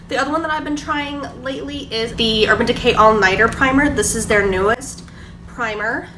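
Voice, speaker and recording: A young woman with a slightly high voice and a stuffy nose from a cold talks chattily through her morning routine, full of "like" and "um". She sits a bit back from the camera in a roomy, echoing kitchen. A steady hiss of room noise runs underneath. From Georgia, US. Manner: chatty, filler-heavy, explaining products. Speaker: beauty vlogger. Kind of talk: casual get-ready-with-me chat. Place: kitchen table at home. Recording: echoey room, distant mic, background noise.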